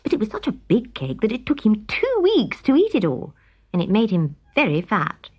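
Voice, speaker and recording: A woman narrating a scripted children's story as an expressive storyteller. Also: mid-range voice, normal speed, a little hiss or hum. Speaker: story reader.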